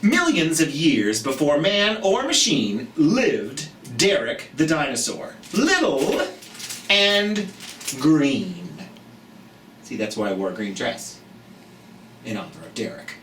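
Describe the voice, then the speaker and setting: A children's storytime host reading a picture book aloud, slowly and expressively, with chatty asides to young listeners. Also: medium pitch. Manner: slow, expressive, speaking directly to children. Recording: roomy, distant mic, background noise.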